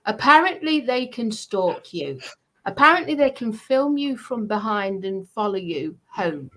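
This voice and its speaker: Sharp, bright-voiced woman, indignant. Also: fairly high pitch, sing-song, measured pace, rough recording. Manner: emphatic, indignant, poses rhetorical questions. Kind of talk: casual chat, recounting a grievance.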